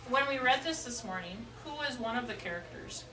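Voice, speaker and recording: A woman's voice: fairly high pitch, lively intonation, a little fast, sharp tone. A woman teaching young children in a classroom, patiently asking questions and repeating their answers back. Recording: echoey classroom, distant mic, noisy.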